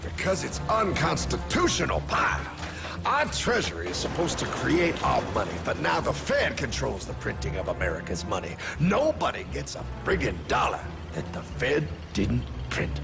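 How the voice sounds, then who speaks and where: A man acts out a brash, cynical cartoon pal with a gravelly edge. His pitch swings wildly as he lectures and wisecracks like an exasperated know-it-all, with music playing behind him. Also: wildly swooping pitch, normal speed. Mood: exasperated lecturing. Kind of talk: animated cartoon explainer dialogue.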